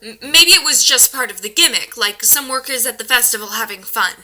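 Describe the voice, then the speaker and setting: Skeptical investigator, bright high voice. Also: female voice, brisk. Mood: matter-of-fact. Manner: dry, matter-of-fact, reassuring. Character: skeptical, level-headed female paranormal investigator. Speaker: actor in an audio play. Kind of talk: modern naturalistic audio drama.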